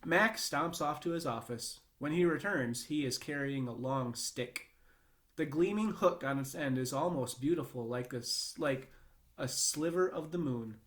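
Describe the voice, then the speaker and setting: A man giving an expressive read-aloud narration of a children's novel. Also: fairly high pitch, measured pace.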